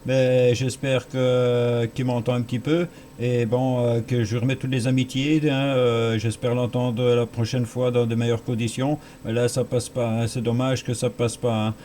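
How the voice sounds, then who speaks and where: Faint background noise, man, Belgian French accent, medium pitch, casual radio operator talk, repeated phrases. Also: little pitch movement, normal speed, few pauses, warm tone. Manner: repeats words and phrases for emphasis. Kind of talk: casual two-way radio chat.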